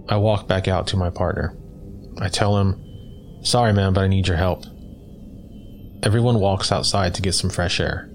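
A man's voice: low-pitched, darkish tone, slight background noise. A man reading a first-person horror story as scripted narration for listeners. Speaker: guest story reader.

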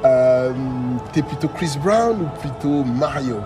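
A man hosting a podcast, speaking informally and teasingly in a quick-fire interview style. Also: medium pitch, expressive, music in the background. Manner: informal, teasing, jokes along with the guest.

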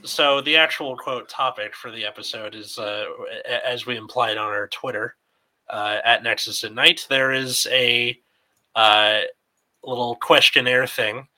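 A man's voice: medium pitch, steady tone, unhurried, sharp tone, rough recording. A man in his late twenties hosting a podcast in a loose, rambling chat full of fillers. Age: 20s. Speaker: podcast host. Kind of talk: casual chat.

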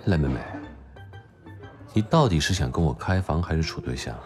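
An actor playing a cool young male doctor in an audio drama, gruffly teasing in a dry, blunt, exasperated manner. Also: extremely low pitch, animated, normal speed, a little mellow, faint music behind. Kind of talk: Chinese audio drama acting.

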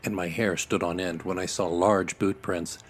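A man reading a scripted spooky story as host, with a measured, dramatic delivery. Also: deep voice, fairly even intonation. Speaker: host reading listeners' stories. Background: music.

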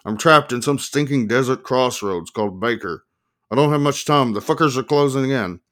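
A man's voice: mid-range voice, normal speed, fairly bright tone, lightly acted. Man acting a paranoid, frantic, ranting fugitive. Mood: panicked. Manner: panicky, profane, ranting. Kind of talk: audiobook character voice.

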